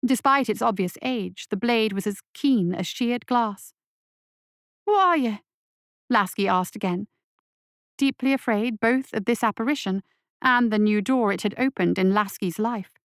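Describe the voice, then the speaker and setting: A woman narrating a dark fantasy story in a scripted, dramatic audiobook reading style. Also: medium pitch, normal speed, no echo, clean studio sound. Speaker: story reader.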